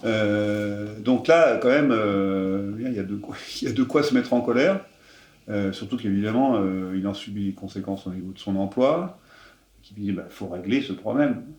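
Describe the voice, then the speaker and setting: Male politician, lowish voice, measured and filler-heavy, recorded in an echoey room. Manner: measured, full of fillers, animated when indignant. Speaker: member of parliament. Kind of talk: explaining a case to camera.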